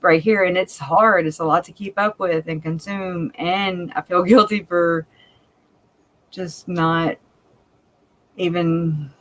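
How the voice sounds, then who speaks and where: A woman's voice: lowish voice, steady tone, slow, pauses often. A woman with a country-sounding Cajun accent, co-hosting a casual live chat, talking candidly and laughing often. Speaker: livestream co-host.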